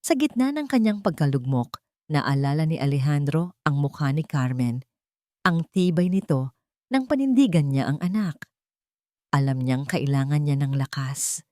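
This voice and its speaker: A woman with a fairly low voice reads a dramatic love story as its narrator. She speaks in deliberate, emotive phrases. The recording is dry and close, with little sense of a room. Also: animated, a little mellow, dry recording.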